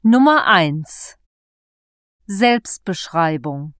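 A young woman reading scripted lines for a language listening exam with slow, careful articulation. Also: lowish voice, lively intonation, fairly bright tone, clean tone, dry recording, studio quality. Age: 20s. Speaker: exam dialogue reader.

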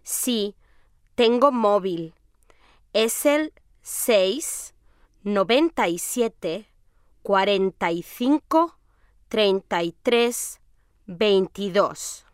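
Woman acting as young female, friendly, crisp voice, slow careful delivery, language-lesson dialogue. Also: mid-range voice, animated, no echo, clean studio sound. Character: friendly young woman from Madrid, aged nineteen.

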